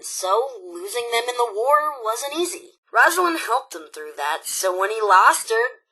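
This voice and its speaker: A woman's voice: medium pitch, bright, forceful tone, slightly rough, heavily acted, low-quality recording. An actress playing a sassy, cutthroat young female robot raider in a video game, sarcastic, flippant and theatrical, teasing as she talks. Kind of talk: video game character dialogue.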